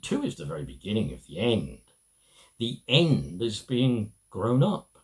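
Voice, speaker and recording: Slow, very animated male storybook reader. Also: lowish voice. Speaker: amateur reader. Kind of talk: reading a children's storybook aloud.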